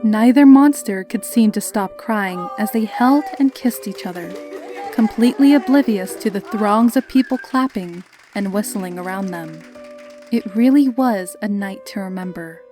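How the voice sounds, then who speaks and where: A woman's voice: medium pitch, normal speed, warm tone, soft background music. A woman reading scripted story narration.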